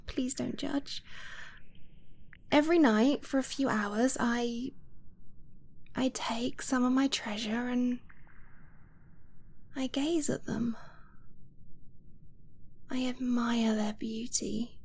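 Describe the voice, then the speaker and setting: A soft, fairly high-voiced dragon girlfriend, played by a woman in an intimate ASMR roleplay. She speaks very slowly and breathily, often near a whisper. Her delivery is hesitant, affectionate and earnest, as if shyly confessing to someone she loves. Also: slight background noise. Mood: shy, dreamy. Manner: hesitant, earnest, slow with halting pauses.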